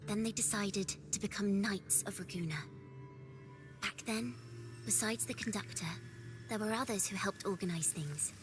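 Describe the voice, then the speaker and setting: A woman's voice: mid-range voice, normal speed, close to an everyday voice. A woman voicing a young female performer in a video game, telling her past in a solemn storytelling manner. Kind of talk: game voice acting. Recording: game audio with music behind.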